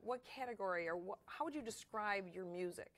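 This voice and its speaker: A woman's voice: medium pitch, lively intonation, brisk, fairly bright tone, no room sound. A woman hosting an interview show, asking questions in a chatty way and reacting with exclamations. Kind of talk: casual interviewer.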